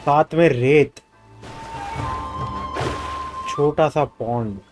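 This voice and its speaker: Very fast-talking Indian man streaming games. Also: a little high. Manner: informal, slangy. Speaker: game streamer. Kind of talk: casual live gameplay chat. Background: game music.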